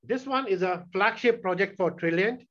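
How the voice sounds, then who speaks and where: A man giving a keynote presentation over a video call, walking through his slides in a steady, explanatory way. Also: high-pitched, normal speed, lo-fi sound. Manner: steady, explanatory slide walkthrough with occasional restarts. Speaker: keynote speaker, sales executive. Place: indoor room, on video call. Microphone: video call webcam mic.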